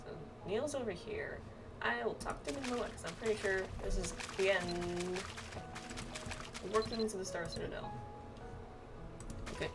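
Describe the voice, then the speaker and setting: A woman's voice: medium pitch, moderate pace, pauses often. Girl doing a casual game playthrough, reading dialogue out, bit far from mic, music playing behind. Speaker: gamer playing through a video game. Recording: distant mic under clear background music.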